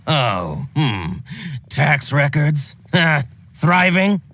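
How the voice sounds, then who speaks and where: Excitable, slow-drawling scheming merchant, swooping wildly. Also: male voice, a little high, exaggerated voice. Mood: indignant, scornful. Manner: theatrical, exclaiming, drawn-out words. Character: scheming, excitable male merchant with a petty grudge. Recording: background music.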